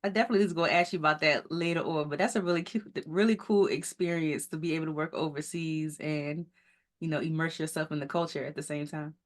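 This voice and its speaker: A woman's voice: low-pitched, steady tone, quick pace. A woman hosting a beauty podcast, upbeat and enthusiastic, chatting casually with her guest and laughing often. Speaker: podcast host. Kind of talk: casual interview chat.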